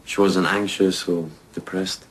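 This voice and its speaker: Low, probing police detective played by a man for radio drama, slight background noise. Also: level tone, a little slow, low-quality recording. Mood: probing, concerned. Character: steady, probing male British police detective.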